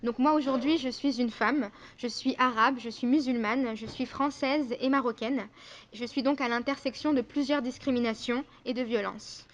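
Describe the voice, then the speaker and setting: A woman's voice: fairly high pitch, steady tone, moderate pace, dull audio. A young woman giving a conference talk, earnest and often hesitating with fillers. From France. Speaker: invited speaker. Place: conference room.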